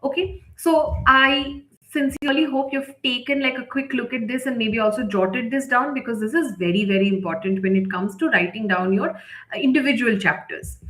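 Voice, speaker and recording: Higher-voiced woman teaching in an echoey room. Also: normal speed, fairly bright tone. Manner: unscripted, emphatic with repetition and check-in tags. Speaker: writing workshop instructor. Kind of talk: live online lesson, explanatory. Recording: echoey, roomy sound.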